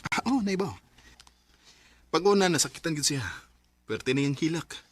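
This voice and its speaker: A man's voice: on the high side, normal speed, dry recording, lightly acted. An actor playing a closeted man torn between two lovers in a Philippine radio drama, earnest and pleading, with a Bisaya Filipino accent. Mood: confiding.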